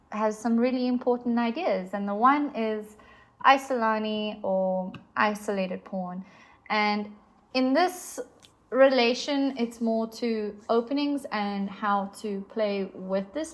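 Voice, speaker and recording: Slow-talking South African woman teaching. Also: medium pitch. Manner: patient, explanatory, with fillers. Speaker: chess instructor. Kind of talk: explaining chess moves on a board.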